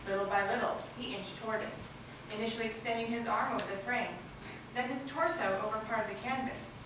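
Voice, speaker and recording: A woman's voice: a little high, fairly even intonation, fairly quick. A woman narrating a scripted story as part of a readers' theatre group. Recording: echoey hall, distant mic, loud noise.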